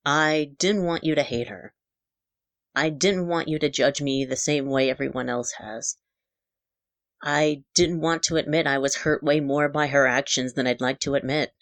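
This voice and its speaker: A woman's voice: sits low, steady tone, normal speed, no echo. A woman voicing a middle-aged father in an audio story, guarded and wounded, earnest and apologetic. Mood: regretful, earnest. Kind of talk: dramatic character dialogue in a narrated audio story.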